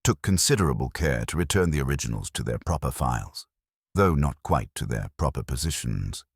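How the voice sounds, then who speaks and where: A man's voice: a bass voice, expressive, fairly quick, slightly rough, no echo, studio quality, heavily acted. A man voicing a prim, observant woman office cleaner in a radio mystery, speaking precisely and formally. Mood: precise, confiding.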